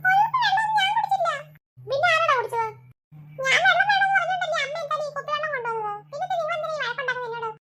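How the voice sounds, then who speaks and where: A woman's voice: extremely high pitch, racing, sharp tone, voice pushed up. A woman voicing a small thirsty boy who whines and protests he is being blamed, in a homemade toy play story. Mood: whiny. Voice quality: whiny, crying protests. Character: little boy, thirsty and whiny.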